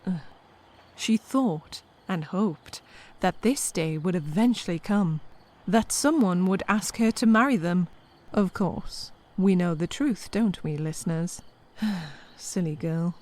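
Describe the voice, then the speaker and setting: A woman's voice: fairly low pitch, slow pace, a little mellow. A woman narrating scripted comedy horror, with wry, exasperated asides to the listeners.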